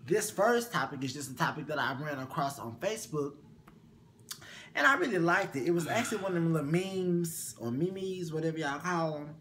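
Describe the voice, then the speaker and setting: Sassy, animated young man co-host. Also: brisk, a little hiss or hum. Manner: sassy, animated, swings high in pitch. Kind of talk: casual chat. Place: small bedroom, indoors.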